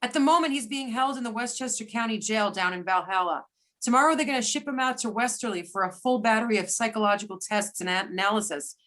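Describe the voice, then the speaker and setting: A woman reading a brisk, wry government agent in amateur readers theater, with everyday delivery. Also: a little high, a bit bright, lightly acted. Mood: matter-of-fact. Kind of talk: amateur readers theater over a remote call.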